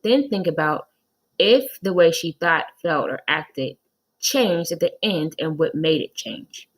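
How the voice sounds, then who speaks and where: A woman narrating a children's storybook expressively, in the deliberate, instructive manner of a teacher. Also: low-pitched, expressive, low-quality recording. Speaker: read-aloud teacher.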